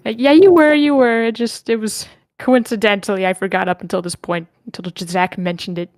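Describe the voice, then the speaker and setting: A woman moderates an online group session in a casual, chatty way. Her voice sits at an average pitch for a woman. The sound is dry, with very little room in it. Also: steady tone, fairly quick, a little mellow, no echo. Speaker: host of an online script reading session.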